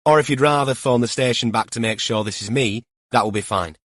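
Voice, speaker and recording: A man voicing a female detective inspector with a calm, polite, official manner in an audiobook. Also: medium pitch, brisk, no echo, clean studio sound, close to an everyday voice.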